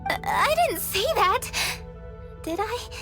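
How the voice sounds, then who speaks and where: A woman's voice: extremely high pitch, sing-song, slow pace, heavily acted. A woman playing a poised, dutiful young official in a video game, speaking formally and articulately over music. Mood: flustered. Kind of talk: video game cutscene voice acting. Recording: background music under the voice.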